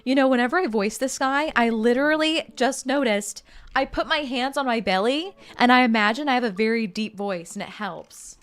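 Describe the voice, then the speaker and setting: A woman's voice: on the high side, normal speed, a bit bright. A young woman streaming a game, chatting casually and reading game text aloud in a bubbly way. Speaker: gaming streamer. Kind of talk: casual let's-play commentary.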